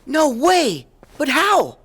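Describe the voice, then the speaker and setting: A man's voice: very high-pitched, wildly swooping pitch, slow pace, a bit bright, dry recording, exaggerated voice. A man playing a determined, impulsive teenage boy hero in a game cutscene, youthful and earnest. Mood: puzzled, dismayed. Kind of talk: animated game cutscene acting.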